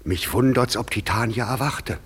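An actor playing a commanding fairy king who sometimes speaks in a hush, in a classic German radio play. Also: medium pitch, moderate pace, husky. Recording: long reverb, faint clicks.

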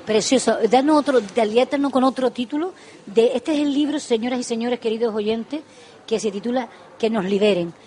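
A woman's voice: on the high side, moderate pace, darkish tone. A woman hosting a live radio interview, effusive and affectionate, often repeating and restarting her phrases. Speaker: radio show host.

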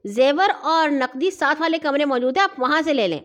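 A woman's voice: high voice, lively intonation, voice pushed up. A woman voicing a timid, polite and wryly argumentative older brother in a storybook. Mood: polite, placating.

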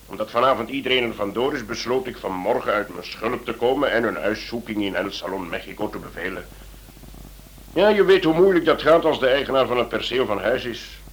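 A man playing a senior police chief in a radio play, speaking in a measured, authoritative way. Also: medium pitch, slight background noise, lightly acted. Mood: rueful, insistent.

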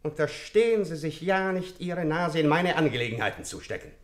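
A man's voice: on the high side, animated, fairly quick, close to an everyday voice. A man acting a menacing, hot-tempered doctor in a radio play, with a threatening, emphatic delivery.

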